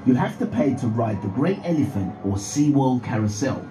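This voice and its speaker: Lively male narrator over music, echoey. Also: medium pitch, normal speed. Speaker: TV reporter voice-over. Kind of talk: scripted narration of a travel report.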